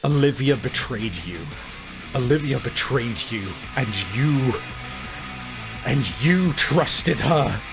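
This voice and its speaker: A man with a medium-pitched voice works as game master of a tabletop role-playing game. He describes things in vivid, intense detail, with music playing clearly behind him. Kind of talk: dramatic tabletop game narration and rules talk.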